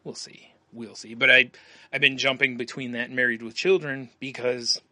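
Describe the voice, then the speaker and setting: A man's voice: mid-range voice, little pitch movement, normal speed, bright, forceful tone, no room sound. A man co-hosting a podcast in casual chat, rambling and laughing often as he talks. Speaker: podcast co-host.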